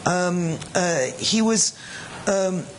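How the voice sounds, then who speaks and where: A woman's voice: fairly low pitch, lively intonation, extremely slow, slight background noise, poor audio. A woman critic making a case on a panel, thoughtful and self-correcting as she argues. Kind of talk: panel discussion.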